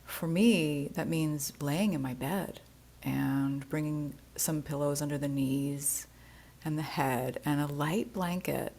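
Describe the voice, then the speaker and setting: Female yoga nidra teacher, low register, very measured pace, gentle and soothing guided-meditation delivery. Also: creaky. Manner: soothing, gentle, unhurried instruction.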